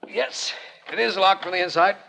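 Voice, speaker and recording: An actor playing a detective's dry, practical companion, speaking matter-of-factly in a 1940s radio drama. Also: male voice, sits high, moderate pace, gravelly, sharp tone, a little hiss or hum, exaggerated voice. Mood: puzzled. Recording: dull, lo-fi 1940s radio recording.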